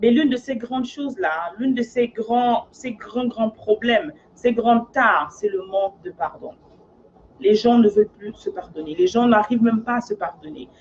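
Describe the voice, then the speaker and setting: A woman's voice: fairly high pitch, normal speed, a little mellow, muffled recording, a little hiss or hum. A woman from Africa who works as a life coach, talking in an earnest, casual monologue and often checking in with her listener.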